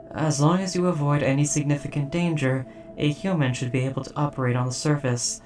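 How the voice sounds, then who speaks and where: Audio drama robot soldier, played by an actor: deadpan, measured, protective, monotone delivery, slightly off-mic. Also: medium pitch, distant mic, close to an everyday voice. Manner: formal, measured, literal, deadpan. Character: stoic protective military robot, old, caring, formal. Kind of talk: scripted audio roleplay.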